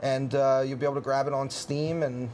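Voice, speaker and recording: A man hosting a relaxed gameplay chat, easygoing and quick to laugh, stumbling over repeated words. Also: mid-range voice, a little hiss or hum. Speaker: host, community manager.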